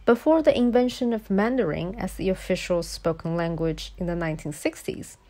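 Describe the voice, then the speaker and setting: A woman whose first language is a Chinese dialect, reading a voice-over essay in a measured, explanatory way. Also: on the low side, dark tone. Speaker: guest speaker.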